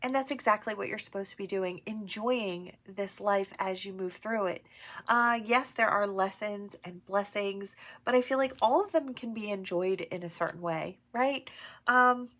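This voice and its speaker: A woman's voice: mid-range voice, normal speed. Stuffy-voiced woman giving a tarot reading. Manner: gentle, affirming, many fillers and "right?". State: stuffy from allergies. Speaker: tarot reader. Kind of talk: channeled oracle reading to camera.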